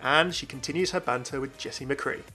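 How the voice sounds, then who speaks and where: A man's voice: mid-range voice, normal speed, sharp tone, faint music behind. A man hosting a gaming channel, giving chatty, enthusiastic, informal commentary on a video game.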